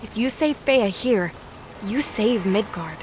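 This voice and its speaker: A woman playing a bold young warrior goddess who sees the future, measured, confident and ominous in an audio drama. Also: mid-range voice, expressive, background noise. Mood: stern. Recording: loud dramatic music behind the voice.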